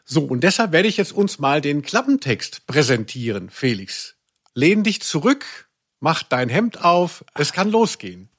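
A male podcast host with a somewhat high-pitched voice. He chats humorously in long-winded sentences, with a mock-serious manner. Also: sing-song, unhurried.

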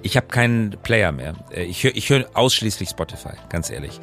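A man's voice: fairly low pitch, lively intonation, brisk, fairly bright tone, faint music behind. A middle-aged man chatting casually as the co-host of a podcast. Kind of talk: casual conversational podcast talk.

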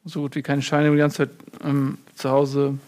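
A man playing a muddled, rambling fortune cookie writer in a deadpan comedy interview. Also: medium pitch, normal speed, close to an everyday voice. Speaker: mock interview guest. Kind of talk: improvised comedy sketch.

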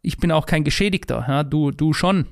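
A man from Germany co-hosting a casual podcast conversation, wordy and halting, often repeating his words. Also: fairly high pitch, no room sound, studio quality. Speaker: podcast co-host.

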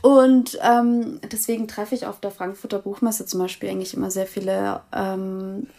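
A woman's voice: medium pitch, level tone, moderate pace, creaky, mellow. Chatty self-mocking young woman. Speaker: podcast co-host, publishing staff. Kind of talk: casual podcast chat.

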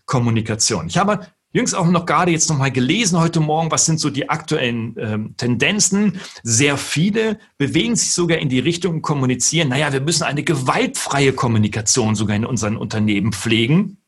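A middle-aged man from gives an earnest talk on leadership, slowing down to stress key words. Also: mid-range voice, expressive, breathy edge. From northern Germany. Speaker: keynote speaker and lecturer.

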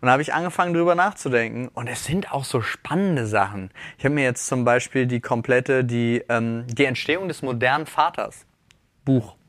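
A man's voice: medium pitch, normal speed, fairly bright tone, no room sound. A youngish to middle-aged man chatting casually as a podcast co-host, thinking aloud in loose, colloquial digressions. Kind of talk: casual chat between friends. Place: dry, close-miked recording.

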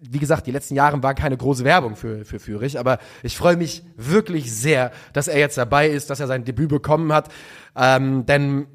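A man co-hosting a football podcast, chatting quickly and casually with slangy asides. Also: mid-range voice. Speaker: podcast co-host.

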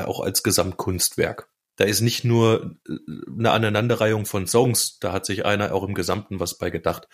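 A man co-hosting a podcast, chatting casually about music in an enthusiastic, slangy, emphatic way. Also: lowish voice. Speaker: podcast co-host.

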